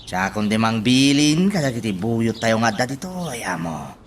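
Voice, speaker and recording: Man acting an animated, obedient henchman. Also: mid-range voice, moderate pace, slight background noise, close to an everyday voice. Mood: servile. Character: obedient, deferential male henchman taking orders. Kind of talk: radio drama acting.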